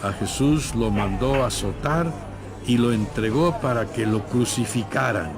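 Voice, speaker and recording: A man's voice: medium pitch, unhurried. A man reading scripted, dramatized Bible narration. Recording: music bed behind narration.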